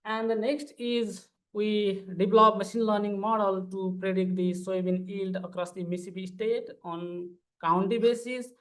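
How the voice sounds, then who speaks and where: A man's voice: sits high, little pitch movement, moderate pace, few pauses, fairly bright tone, rough recording. Earnest man presenting. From Nepal. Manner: earnest, formal, walks through slides step by step. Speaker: PhD student panelist. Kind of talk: conference panel presentation.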